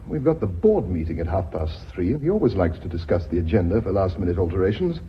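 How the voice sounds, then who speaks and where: An actor playing a bitter, lovelorn British chief accountant in a vintage radio play, formal, wry and clipped. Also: male voice, deep voice, very animated, fast talker. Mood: anxious. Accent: British English. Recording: muffled, hissy old radio recording.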